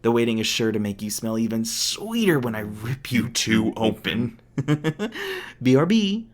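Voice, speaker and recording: A man's voice: medium pitch, huge pitch swings, measured pace, slight background noise. A man voicing a gleefully theatrical inhuman villain in an audio roleplay, mocking and menacing with mock-sweet baby talk.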